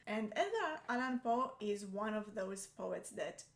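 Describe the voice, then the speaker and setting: A woman's voice: medium pitch, animated, a little slow. A young adult woman, a native Romanian speaker, chatting in English as a co-host, hesitant and full of fillers. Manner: hesitant, many fillers and restarts. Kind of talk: casual chat. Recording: echoey room.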